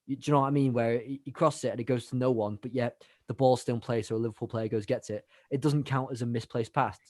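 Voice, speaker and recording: A man's voice: mid-range voice, fairly even intonation, fast talker, darkish tone, no echo, studio quality. A man co-hosting a live football watch-along, casually analysing players and reading out match stats.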